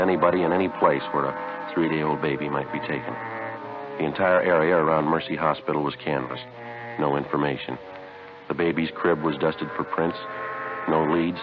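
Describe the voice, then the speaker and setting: A man playing a terse, methodical police detective sergeant in a vintage radio drama, deadpan and clipped. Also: rumbling low. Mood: grim, terse. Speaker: lead actor and narrator in a radio police drama. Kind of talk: 1950s radio drama acting, terse first-person narration. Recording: muffled vintage radio recording.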